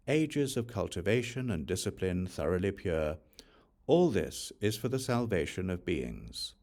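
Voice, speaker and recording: A man reading a Buddhist scripture aloud as an audiobook, in a measured, solemn recitation. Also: lowish voice, darkish tone. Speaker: audiobook reader.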